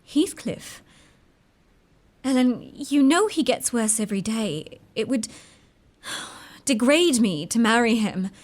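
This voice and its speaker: A woman's voice: fairly high pitch, animated, slow, long pauses. A young woman playing a passionate, torn young lady of the moors in a melodramatic radio drama. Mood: wistful, torn.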